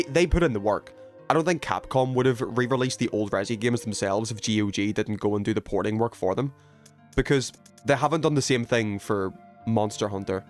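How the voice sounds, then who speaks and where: A man hosting a video game livestream, rambling and thinking aloud as he chats casually with viewers. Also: on the low side. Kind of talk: casual gaming stream chat, reading viewer messages.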